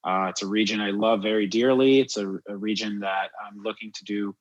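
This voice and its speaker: Man, low voice, from Miami, informal, reflective, casual interview guest. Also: steady tone, fairly quick, lo-fi sound. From born in France, grew up in Miami, USA. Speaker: podcast guest, wildlife photographer and guide.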